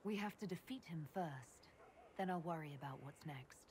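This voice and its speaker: A woman's voice: sits low, normal speed, darkish tone, slight background noise, close to an everyday voice. An actress playing a determined female army leader in a fantasy video game, speaking directly and in a businesslike way. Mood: composed. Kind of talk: video game dialogue acting.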